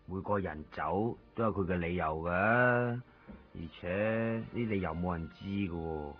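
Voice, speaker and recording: A man's voice: low-pitched, a little slow. A man acting a coaxing, worldly caretaker in a radio drama. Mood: resigned, philosophical. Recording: dull 1990s radio recording. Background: faint music.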